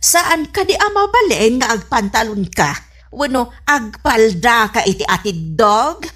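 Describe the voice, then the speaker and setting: A woman's voice: on the high side, sing-song, unhurried, fairly bright tone, exaggerated voice. A woman playing a nosy, sarcastic in-law who needles and scolds, in an Ilocano radio comedy drama. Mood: disapproving, mocking.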